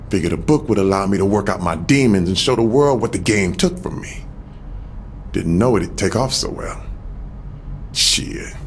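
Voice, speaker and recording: An actor playing a shrewd American former gang boss, self-assured and streetwise, reminiscing in a scripted game audio log. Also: male voice, mid-range voice, a little hiss or hum. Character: shrewd, patient male ex-gang boss turned author.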